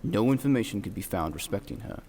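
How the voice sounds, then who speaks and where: An actor playing a reserved, stern young clergyman in an audio drama, speaking formally with dry, measured delivery. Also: on the low side, lively intonation, mellow. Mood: cool, deliberate. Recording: long hall-like reverb.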